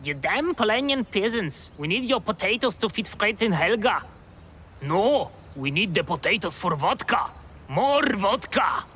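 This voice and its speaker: An actor playing a rowdy, boisterous, mocking soldier in a video game cutscene. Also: male voice, high voice, big pitch swings, a little slow, sharp tone, heavily acted. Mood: mock outrage. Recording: faint background ambience noise.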